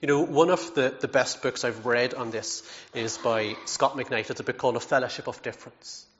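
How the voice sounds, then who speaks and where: A man's voice: mid-range voice, fairly even intonation, normal speed, a bit bright, highs cut off. A man preaching a sermon in an earnest, emphatic way, with self-deprecating asides and frequent repeated words.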